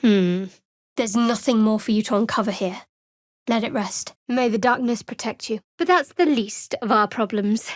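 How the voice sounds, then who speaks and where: An actress voicing a guarded, wry and devout young female cleric in a fantasy video game, with a cool, measured, dryly sardonic delivery. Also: a little high, dry recording, clean studio sound, lightly acted. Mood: composed, wry.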